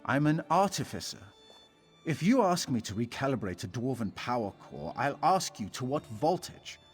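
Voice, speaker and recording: A man's voice: medium pitch, very animated, normal speed, faint music behind, lightly acted. A man playing a haughty, aggrieved scholar and artificer in a video game, wordy and indignant. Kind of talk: video game character dialogue.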